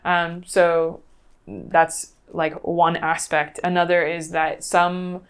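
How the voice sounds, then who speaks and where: Thoughtful woman with a lowish voice. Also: level tone, takes it slowly, clean tone. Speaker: interview guest. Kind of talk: conversational interview, recounting cases.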